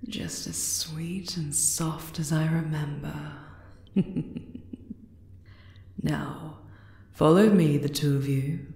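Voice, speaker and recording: A woman playing a regal, affectionate spider monster queen in an ASMR roleplay, speaking tenderly and deliberately. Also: low voice, steady tone, mellow. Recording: roomy echo on the voice.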